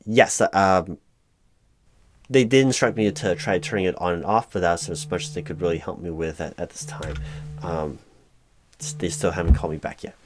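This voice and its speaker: Man playing a hesitant, low-voiced flustered office manager. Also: moderate pace, natural voice. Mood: flustered, clueless. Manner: hesitant, stammering, awkwardly polite, monotonous. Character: flustered, hapless male recruiting manager at a big company. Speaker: job interviewer. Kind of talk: one-sided loud-spoken ASMR roleplay.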